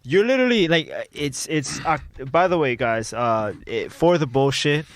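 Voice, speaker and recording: A man with a low voice, co-hosting a podcast in rambling, joking, self-deprecating banter. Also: expressive, normal speed. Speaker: podcast co-host. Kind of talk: casual banter.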